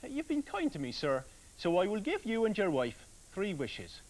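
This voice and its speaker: A man's voice: high-pitched, wildly swooping pitch, normal speed, voice pushed up. A man voicing a timid little male tree spirit who stammers and pleads in a storybook tale. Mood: grateful.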